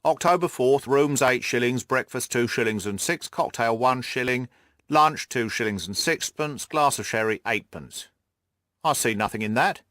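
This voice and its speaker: A man voicing an excitable, cocksure police detective in an audiobook, emphatic and scornful. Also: mid-range voice, moderate pace, dry recording, clean studio sound, lightly acted. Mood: indignant, scornful. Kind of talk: audiobook character acting.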